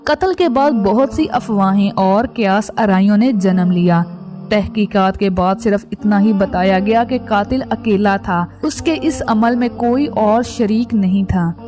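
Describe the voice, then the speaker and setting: A woman narrates in a scripted documentary style. Her voice sits at an average pitch for a woman. Music plays clearly behind her narration. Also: a little slow. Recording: background music under voice.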